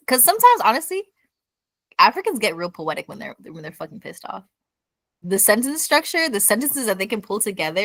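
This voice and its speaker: An easygoing young woman co-hosts a casual podcast, laughing often and swearing freely. Her pitch sits in the middle range. The recording is dry and close. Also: sharp tone, no room sound. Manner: laughs easily, casual and profane. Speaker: podcast co-host. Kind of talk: casual chat.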